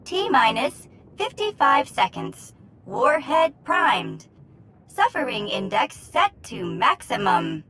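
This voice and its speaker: A woman voicing a gleeful, taunting machine for a video game, announcing deliberately with playful jabs. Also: high voice, sing-song, a bit bright, slight background noise, exaggerated voice. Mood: cold announcing. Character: gleeful taunting female machine voice counting down to detonation.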